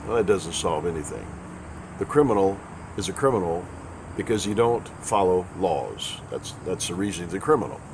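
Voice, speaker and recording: Male host, very deep, raspy with a chest cold, folksy sarcastic rambler, faint noise behind. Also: animated, normal speed. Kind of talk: casual spoken commentary.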